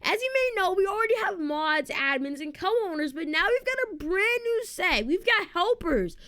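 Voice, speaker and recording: A woman's voice: very high voice, big pitch swings, normal speed, fairly bright tone. A woman giving casual, informal gameplay commentary, talking directly to viewers as she walks through features. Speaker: video game streamer and presenter.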